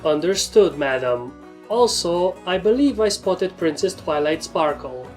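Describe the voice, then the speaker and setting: A woman's voice: on the low side, animated, measured pace, darkish tone. A woman voicing a serious male pony royal guard in a storybook reading, formal and deferential. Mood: formal, obedient. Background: music.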